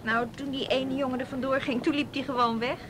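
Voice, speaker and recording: A woman's voice: high-pitched, steady tone, fairly quick, noisy background, lightly acted. An actress playing a cheeky, defiant rich teenage girl in a naturalistic radio drama, with a pert, scornful manner.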